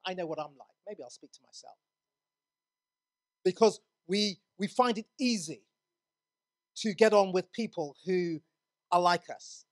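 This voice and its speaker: A man's voice: high-pitched, measured pace, pauses often. A man from England preaching a sermon in a church, earnest, often restarting his words.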